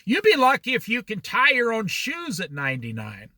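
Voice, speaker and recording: A man's voice: high-pitched, wildly swooping pitch, normal speed, pushed and bright, no room sound. A man leading an informal Bible study, throwing out challenging rhetorical questions and jokey asides.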